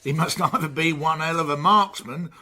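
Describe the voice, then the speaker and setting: An actor playing a grumpy, sarcastic male London chief inspector in a radio drama, with a gruff, blunt and colloquial delivery. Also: fairly high pitch, moderate pace, sharp tone.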